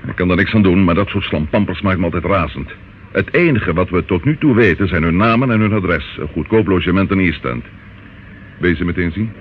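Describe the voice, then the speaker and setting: Gruff, hot-tempered male inspector, played by an actor for radio crime drama: low voice, wry colloquial delivery, tinny phone-like sound. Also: fairly quick, natural voice. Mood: grumbling. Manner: wry, colloquial, theatrically expressive. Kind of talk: old radio play acting. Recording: muffled phone-like old radio recording, faint noise.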